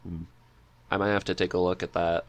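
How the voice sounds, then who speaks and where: A man's voice: low voice, level tone, fairly quick, lo-fi sound. A man hosting a casual interview chat, speaking in a hesitant, agreeable and informal way as he goes. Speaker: podcast co-host reading questions.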